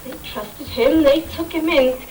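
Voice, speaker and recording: A woman's voice: sits high, unhurried. A woman narrating an amateur pantomime in scripted rhyming verse. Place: stage performance. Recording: noisy amateur live stage recording.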